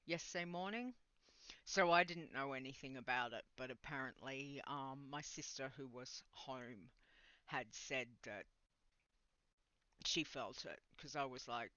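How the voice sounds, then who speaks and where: A woman's voice: low-pitched, pushed and bright, clean tone, no room sound, muffled recording. A woman hosting a podcast in casual, rambling chat, sounding a bit congested and nasal. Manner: rambling and chatty, with laughs. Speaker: podcast host.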